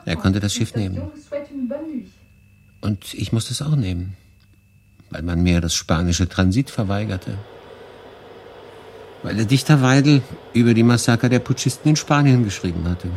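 A man's voice: deep voice, normal speed, long pauses, a little mellow, a little hiss or hum. An actor playing a melancholy, detached male refugee who narrates his story in a pensive, understated radio drama style. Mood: sober. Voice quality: husky.

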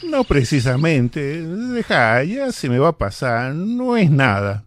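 A man's voice: fairly high pitch, sing-song, slow pace, a little hiss or hum. Guy doing a sad old argentine grandpa in a radio play, weary and patient, lots of up and down in the voice. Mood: downcast. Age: older. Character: gentle, resigned old grandfather living in care. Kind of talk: radio drama acting.

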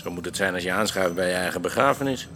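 Low, wry, cultured male jailer. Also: fast talker, bright, forceful tone. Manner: formal, wry, eloquent. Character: cultured, ironic, enigmatic male prison guard. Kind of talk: Dutch radio play acting. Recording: faintly noisy radio drama recording.

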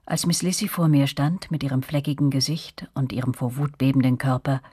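A reflective woman narrating memories of her girlhood in a radio play. The voice is very low for a woman, husky and closely recorded without room sound, measured and serious. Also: fairly even intonation, warm tone, dry recording, clean studio sound. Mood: uneasy. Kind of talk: literary first-person narration in radio drama.

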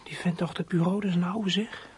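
Husky, brooding runaway teenage boy. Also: medium pitch, steady tone, fast, a little mellow. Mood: nervous, resentful. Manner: brooding inner monologue, muttering to himself. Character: fourteen-year-old runaway boy, sullen, bitter, hunted. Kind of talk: radio play acting.

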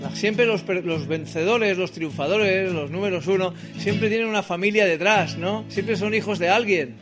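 A man's voice: sits high, normal speed. A middle-aged man with an accent from Spain does comic stage banter between songs, rambling and self-deprecating, often checking in with his listeners. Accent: Spain Spanish. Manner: rambling, self-deprecating, asks the audience tag questions. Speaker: singer-songwriter and comedian performing live. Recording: live performance with acoustic guitar. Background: acoustic guitar.

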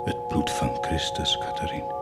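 A man's voice: measured pace, heavy background noise, close to an everyday voice. An actor as a fervent, tormented man, cavernous reverb. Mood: hushed, solemn. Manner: declamatory, swinging between hushed and roaring. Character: tormented, fervent man defying God and claiming divine wounds. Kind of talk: theatrical radio drama acting. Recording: cavernous long reverb under loud music.

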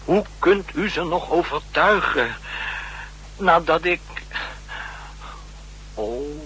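An actor playing an anxious, remorseful junior agent in a 1960s radio drama, deferential and halting as he confesses. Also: a little high, expressive, bright, forceful tone, heavily acted, rough recording. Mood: dejected. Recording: humming, hissy 1960s radio recording.

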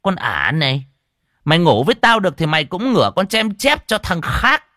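A man's voice: a little high, huge pitch swings, moderate pace, sharp tone, dry recording, studio quality, pitched above the performer's own voice, full character voice. A man voicing a callous rich village landlord in a storybook, sputtering vulgar, scornful abuse. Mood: furious, scornful.

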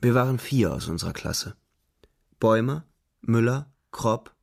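A man's voice: medium pitch, unhurried, gravelly. An actor playing a young German front-line soldier, narrating his war memories intensely in a radio drama. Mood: bleak, resigned. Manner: intense, expressive storytelling. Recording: music under the voice.

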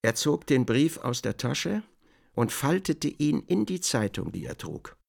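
An actor playing a literary storyteller, narrating a radio drama in a creaky voice. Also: male voice, medium pitch, very animated, unhurried, exaggerated voice. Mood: measured storytelling. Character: literary storyteller, also voicing the protagonist's brief remarks.

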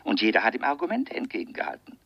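An actor playing a wary, polite man questioning a caller in a German radio crime drama, formal and deliberate. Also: lowish voice, wildly swooping pitch, gravelly, dry recording, dull audio, heavily acted. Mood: animated, persuasive. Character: wary, polite, theorising friend of a dead pianist.

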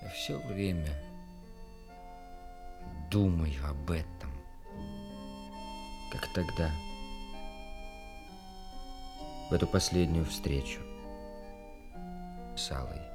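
Low-voiced, wry army lieutenant. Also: male voice, takes it slowly, long pauses, music in the background. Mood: brooding, regretful. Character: wry, bantering army senior lieutenant, regretful in love. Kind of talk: radio play acting.

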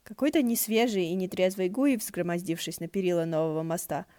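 Dark, mellow woman narrator, dry sound. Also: on the low side, fairly quick, dry recording. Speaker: audiobook narrator. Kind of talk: scripted fiction narration, wry storytelling.

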